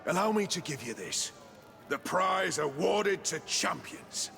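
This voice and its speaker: An actor playing a showy, formal arena master in a theatrical fantasy game style. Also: male voice, high-pitched, expressive, a little slow, vocal fry, pushed and bright, soft background music, heavily acted. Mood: impressed. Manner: flamboyant, formal, showman-like. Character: showy male arena master announcing fights to the crowd. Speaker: arena announcer. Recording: long echoing reverb.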